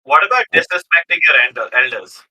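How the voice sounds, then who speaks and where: A man's voice: a little high, expressive, fast, bright, forceful tone, slightly rough, poor audio. A man bantering casually as a player on a game podcast.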